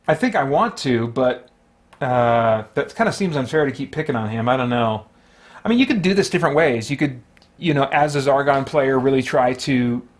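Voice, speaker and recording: A man hosting a solo tabletop game, casually narrating his moves and talking himself through rules and choices. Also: medium pitch. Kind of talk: casual play-by-play, thinking aloud.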